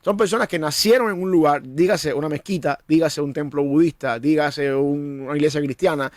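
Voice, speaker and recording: Earnest Cuban-born pastor, slightly high voice. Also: male voice, fairly quick, no room sound, studio quality. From Cuba. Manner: earnest, preacherly, repeats words when starting. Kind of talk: conversational discussion.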